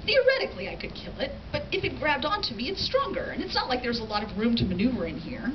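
A woman's voice: high-pitched, big pitch swings, fast, pitched above the performer's own voice. Woman reading as a haughty, grumbling male unicorn. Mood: lofty, hedging. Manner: lofty, sarcastic, put-upon. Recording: distant, noisy, muffled audience camera recording.

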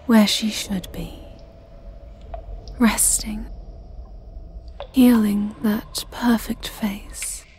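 A woman voicing a creepy, obsessively devoted castle servant, hushed, halting and menacingly calm, in an ASMR roleplay. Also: medium pitch, slight background noise.

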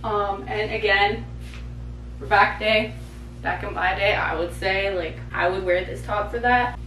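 A woman's voice: medium pitch, steady tone, unhurried, fairly bright tone, echoey room, slight background noise, poor audio. A young woman in her twenties chatting casually and bubbly in a home vlog, picked up on a camera mic across the room. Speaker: vlogger. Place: bedroom and living room at home.

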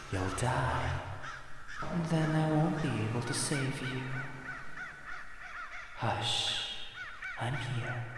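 A possessive demon voiced by a man dotes on his lover in an intimate ASMR roleplay. He talks very slowly at a middling pitch, teasing with soft menace, over faint background noise. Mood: commanding, ominous, then soothing, protective. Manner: slow, teasing, softly menacing. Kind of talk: ASMR roleplay, intimate second-person acting.

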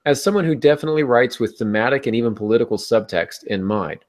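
A man's voice: mid-range voice, animated, quick pace, a little mellow. A middle-aged American man hosting a podcast and explaining things conversationally, speaking from a small room at home on a video call. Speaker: podcast host and author.

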